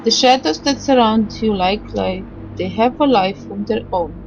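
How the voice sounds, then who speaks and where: A woman narrating a visual novel playthrough, reading the text aloud haltingly with stumbles, pauses and small asides. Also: medium pitch, muffled recording, slight background noise. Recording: music behind the voice. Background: game music.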